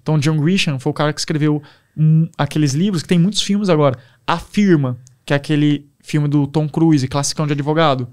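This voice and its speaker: A young man with a Brazilian Portuguese accent, a podcast guest telling stories in a casual, animated way. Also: fairly high pitch, a little fast. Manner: animated, enthusiastic storyteller. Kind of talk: casual storytelling.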